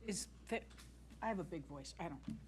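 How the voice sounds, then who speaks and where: A woman's voice: on the low side, lively intonation, moderate pace, crowd noise. A woman speaking informally as a meeting participant, putting questions to students. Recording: clearly noisy meeting pickup with clicks.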